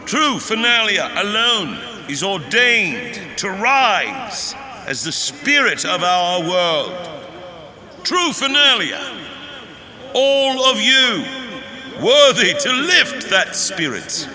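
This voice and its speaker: A man's voice: sits high, very animated, slow, noisy background, full character voice. A man playing a grandiose, solemn leader delivering stately, zealous oratory in a theatrical scripted speech. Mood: rousing, fervent. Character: grandiose male leader rallying a nation with solemn zeal. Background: music.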